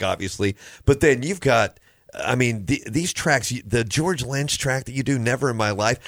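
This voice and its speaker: A man's voice: mid-range voice, fairly bright tone, dry recording. A man hosting a morning rock radio show, enthusiastic and full of hype as he interviews his co-host. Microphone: studio microphone.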